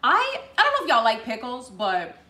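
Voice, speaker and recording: A woman's voice: on the high side, wildly swooping pitch, moderate pace, pushed and bright. Bubbly woman cooking in an echoey kitchen. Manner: bubbly, chatty, calls viewers y'all. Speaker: cooking show host. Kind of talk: live cooking demo, casual chat. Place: home kitchen, indoors. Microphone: fixed camera at counter distance.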